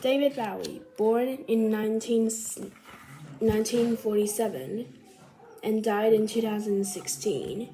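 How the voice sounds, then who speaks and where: A woman reading a children's biography aloud like a storybook, carefully and a little haltingly. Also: on the high side, dark tone, a little hiss or hum, rough recording. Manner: careful, halting reading with stumbles and self-corrections. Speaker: storybook reader.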